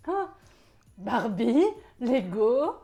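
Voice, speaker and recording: Chatty woman with a softened Parisian accent. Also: on the high side, extremely slow. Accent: Parisian French, softened. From Paris suburbs, France. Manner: chatty and animated, laughs often. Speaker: guest. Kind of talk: casual interview chat.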